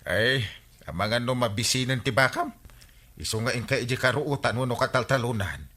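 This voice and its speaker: An actor with an Ilocano accent playing a breezy village official who reassures and orders people around in a radio comedy. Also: male voice, medium pitch, moderate pace, sharp tone. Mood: exasperated, bossy.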